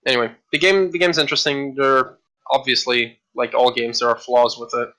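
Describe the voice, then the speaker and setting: A man's voice: medium pitch, fairly even intonation, fairly quick, pushed and bright. A man co-hosting a casual podcast about games, rambling with frequent restarts and casual swearing. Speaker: podcast co-host. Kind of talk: casual chat.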